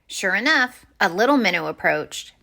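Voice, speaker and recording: A woman reading a children's picture book aloud as expressive story-time narration. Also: mid-range voice, normal speed, gravelly.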